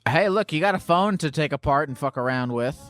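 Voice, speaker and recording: A man hosting a call-in show, teasing his caller with profane, quick-fire banter. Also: on the high side, animated, a bit bright, no room sound, soft background music. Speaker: host.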